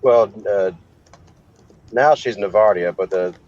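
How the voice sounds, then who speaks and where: Low-voiced man on a dull lo-fi line. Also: slow pace, a little mellow. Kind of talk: casual chat.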